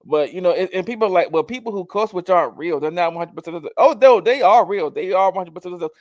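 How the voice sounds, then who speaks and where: A man co-hosting a casual chat show, talking in an animated, emphatic way and laughing easily. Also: high voice, fast talker, no room sound, studio quality. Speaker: co-host.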